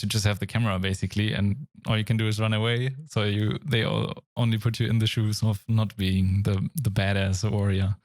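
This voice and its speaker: A man's voice: on the low side, darkish tone, dry recording, clean studio sound. A young German man with a German accent, co-hosting a casual games podcast in a thoughtful, searching way. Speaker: podcast co-host. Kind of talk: casual chat.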